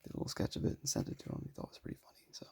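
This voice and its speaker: A young man with a raspy voice, talking casually and modestly while showing his sketches. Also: racing, in a whisper. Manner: soft-spoken, self-deprecating, rambling.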